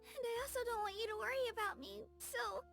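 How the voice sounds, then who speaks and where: A woman's voice: very high voice, quick pace, vocal fry, a bit bright, soft background music, heavily acted. A woman voicing a shy, anxious young girl in a video game, hesitant, earnest and polite, eager to please. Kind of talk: game character voicelines.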